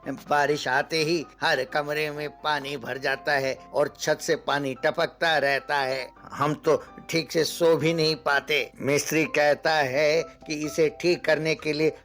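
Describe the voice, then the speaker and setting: A man's voice: fairly high pitch, little pitch movement, slow, fairly bright tone, faint music behind. A man playing a troubled orphanage resident in a story, plaintive and worried.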